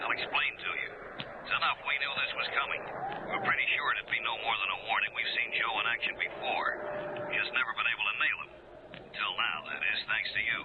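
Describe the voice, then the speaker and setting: An actor playing a confident, reassuring male police lieutenant in a radio drama, brisk and explanatory. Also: medium pitch, steady tone, natural voice. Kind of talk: 1970s radio drama acting. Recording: phone-like old radio broadcast, clear hiss.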